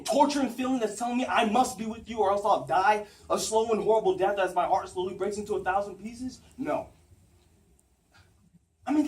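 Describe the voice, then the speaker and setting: An actor performs an anguished, torn man pleading his love in a theatrical monologue. His high male voice is articulate and earnest, with dramatic pauses. It is captured from a distance in a roomy space with faint noise. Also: mellow, close to an everyday voice. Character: anguished man confessing forbidden love, torn, self-doubting rationalist. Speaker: acting student.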